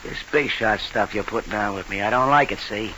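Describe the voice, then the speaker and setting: An actor playing a gruff, suspicious crime boss rattled by guilt, talking in clipped gangster slang in an old-time radio drama. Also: male voice, fairly low pitch, fairly quick, lightly acted. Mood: irritable, tough. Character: hard-boiled male gangland boss, suspicious, rattled by guilt. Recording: hissy vintage radio recording.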